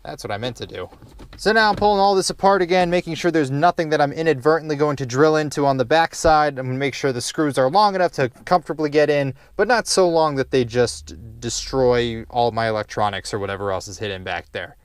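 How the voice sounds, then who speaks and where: A man's voice: medium pitch, fairly quick, clear, resonant tone, no room sound. A man giving a casual, unscripted DIY how-to narration in a rambling, wry, casually profane way inside a car. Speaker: DIY car project host.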